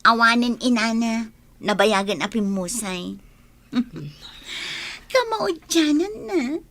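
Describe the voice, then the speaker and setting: A boastful, ambitious young village woman with an Ilocano accent. Her voice is very high and swoops widely, drawn out slowly in exclamatory radio drama style. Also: lively intonation, fairly bright tone, no room sound, full character voice. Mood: boastful, pleased. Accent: Ilocano, from the Philippines. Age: young adult.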